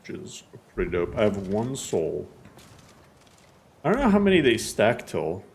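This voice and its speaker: A man's voice: fairly low pitch, wildly swooping pitch, a little slow, vocal fry, a little mellow. A man giving casual, joking gameplay commentary, rambling and self-deprecating. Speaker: game streamer.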